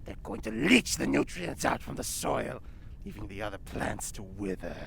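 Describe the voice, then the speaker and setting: Demon granny: deep, wildly swooping delivery, ominous and grand, audio drama. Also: female voice, sing-song, normal speed, creaky, slight background noise, full character voice. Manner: grandiose, doom-laden pronouncements. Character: ominous, menacing demon old lady, apple seller.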